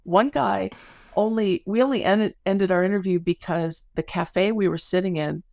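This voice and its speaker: A woman's voice: mid-range voice, normal speed, gravelly, a little mellow, no room sound, studio quality. A woman being interviewed as an academic researcher, telling stories conversationally with colloquial asides and vivid phrasing.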